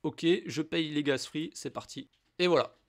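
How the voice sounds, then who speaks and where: A man's voice: fairly high pitch, normal speed. A French man with a slight cold, explaining a crypto wallet in a casual, chatty screen-share tutorial, talking straight to the viewer. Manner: informal, addresses viewer as "tu", chatty asides. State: has a cold. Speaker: crypto YouTuber.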